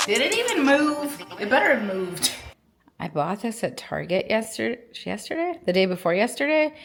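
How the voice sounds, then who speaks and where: A woman's voice: on the high side, normal speed, gravelly. Woman, casual chatty vlog, stuffy nose, echoey room, faint music. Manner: chatty and relaxed, laughs often, drifts off mid-sentence. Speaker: vlogger. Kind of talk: casual vlog chat to camera. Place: hotel room in the early morning.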